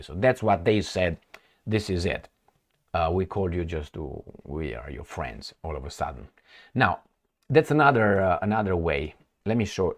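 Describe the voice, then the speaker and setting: A man giving casual, sarcastic commentary on news he reads aloud, breaking into asides and mock dialogue. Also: low voice.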